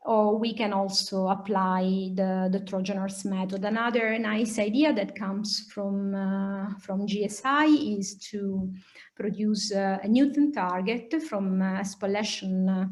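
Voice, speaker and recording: A woman with an Italian accent giving an academic lecture and answering questions, explaining hesitantly with many pauses. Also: medium pitch, fairly even intonation, darkish tone. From Italy. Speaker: lecturer, nuclear physicist.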